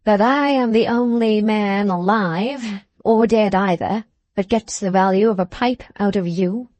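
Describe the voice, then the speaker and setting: A woman voicing a composed male soldier in a novel, speaking in a dry, measured manner. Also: medium pitch, a little mellow, no room sound, natural voice. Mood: composed, wry.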